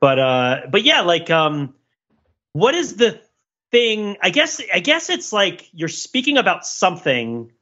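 Man, very bright forceful voice, rambling analytical podcast talk, slightly dull audio. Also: high-pitched, huge pitch swings, a little slow. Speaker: podcast panelist. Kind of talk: casual pop culture discussion.

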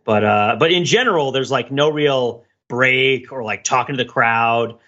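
A middle-aged man chatting casually as a podcast host, an animated storyteller who slips into imagined dialogue. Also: medium pitch, moderate pace, muffled recording. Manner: animated storyteller, acts out imagined dialogue, laughs while talking. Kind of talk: casual conversational podcast chat.

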